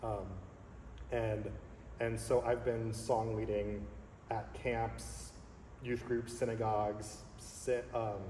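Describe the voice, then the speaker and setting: Earnest mellow-voiced man in echoey hall. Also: on the low side, little pitch movement. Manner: earnest, reflective, halting with fillers. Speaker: guest songleader. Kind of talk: casual interview chat. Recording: echoey hall with faint noise.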